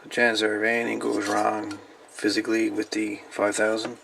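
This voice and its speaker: A man with a lowish, heavily fry-laden voice talks off the cuff like a hobbyist presenting his finds. His delivery is folksy and casual, with no script. The amateur recording carries a light layer of noise. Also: monotone, moderate pace. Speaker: hobbyist video host. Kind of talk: casual show-and-tell of salvaged radio parts.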